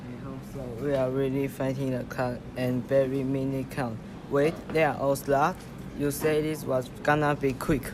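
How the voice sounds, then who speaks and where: A man's voice: medium pitch, flat delivery, moderate pace, natural voice. A man playing an earnest, polite but impatient young rabbit police officer in an amateur radio play. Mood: polite, pressed. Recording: noisy amateur recording.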